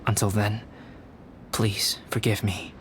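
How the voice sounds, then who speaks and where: A man playing a reserved, polite young alchemist in a video game, speaking in a measured, formal manner. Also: lowish voice, flat delivery, noisy background. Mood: apologetic. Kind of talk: video game character acting. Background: music.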